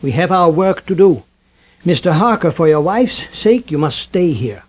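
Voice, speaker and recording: A man's voice: fairly high pitch, sing-song, normal speed, darkish tone. An actor playing an elderly male vampire hunter professor, formal and urgent, in a 1970s radio drama. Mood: grave.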